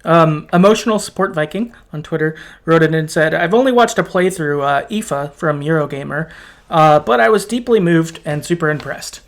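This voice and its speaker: A man guest on a podcast, speaking in a halting, soft way with many ums. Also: fairly high pitch. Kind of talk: casual podcast chat.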